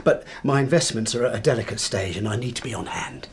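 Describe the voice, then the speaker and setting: An actor playing a domineering, pompous English husband and father in a radio drama, blustering and excitable, with an English accent. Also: lowish voice, fast talker, a little hiss or hum, natural voice. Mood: breezy bluster.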